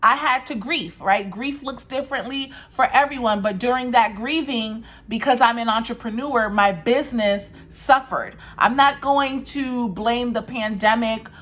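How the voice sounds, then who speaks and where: A woman's voice: fairly high pitch, a little slow, hardly any pauses, a bit bright. A woman in her forties from Washington, D.C., a realtor talking casually to camera from her dining room, emphatic and repeating her points. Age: 40s. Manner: emphatic, repeats phrases, addresses viewers as guys. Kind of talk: casual direct-to-camera market talk. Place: dining room at home by a windy patio.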